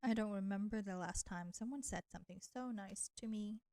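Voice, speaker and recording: A woman's voice: mid-range voice, darkish tone, dry recording, natural voice. A woman voicing a flirty, nurturing vampire in an intimate roleplay audio drama, teasing and coaxing the listener. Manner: slow, teasing, coaxing, addressing listener with pet names. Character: flirty, teasing, nurturing female vampire, ancient enemy turned caretaker.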